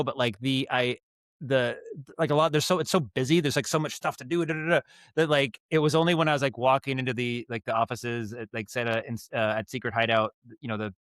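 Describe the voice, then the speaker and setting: A man's voice: mid-range voice, no echo. A man from Maine with an American accent, talking casually as a podcast guest, rambling through stories and acting out asides. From Maine, United States. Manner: rambling, animated acted-out asides. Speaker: podcast guest, audio drama director and writer. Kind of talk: casual interview storytelling.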